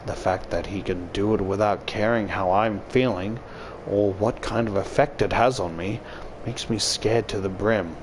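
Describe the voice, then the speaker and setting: A man's voice: on the low side, fairly even intonation, moderate pace, noisy background. A man reading first-person visual novel narration aloud as a YouTuber.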